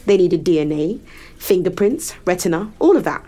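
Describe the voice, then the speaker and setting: A woman's voice: fairly low pitch, lively intonation, normal speed, warm tone. An actress playing a cold, menacing woman interrogator in a science fiction audio drama, controlled and taunting as she lays out her case. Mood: accusing, controlled. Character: cold, menacing woman interrogator in a near-apocalypse sci-fi world.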